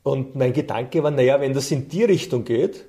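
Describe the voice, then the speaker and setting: A man's voice: medium pitch, moderate pace, dark tone. A middle-aged man, a coach and trainer telling personal stories conversationally as an interview guest.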